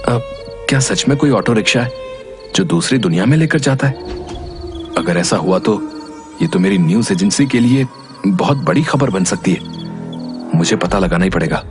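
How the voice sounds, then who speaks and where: A man's voice: on the low side, expressive, normal speed, long pauses, over music, lightly acted. A man voicing an earnest, curious young male reporter in a cartoon story, often thinking aloud. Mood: musing.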